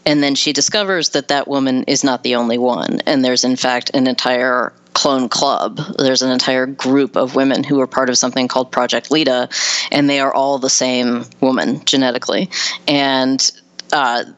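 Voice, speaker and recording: A woman's voice: rumbling low, fairly even intonation, moderate pace, dull audio. A woman giving thoughtful, articulate answers as an interview guest, explaining things in a conversational way. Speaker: interview guest, science fiction writer.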